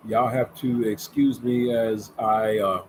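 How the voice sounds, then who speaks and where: A man's voice: lowish voice, fairly even intonation, takes it slowly, darkish tone, slight background noise, poor audio. A man in his fifties hosting a casual sports talk show, chatty and folksy, leaning on words for emphasis. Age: 50s. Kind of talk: casual sports commentary vlog. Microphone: camera setup with ring light.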